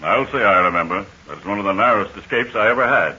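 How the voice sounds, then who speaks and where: An actor playing a steady army major recounting a crash landing, in 1940s radio acting style. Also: male voice, fairly low pitch, fast talker. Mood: earnest. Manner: hearty, earnest storyteller. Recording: muffled old radio recording with faint hiss.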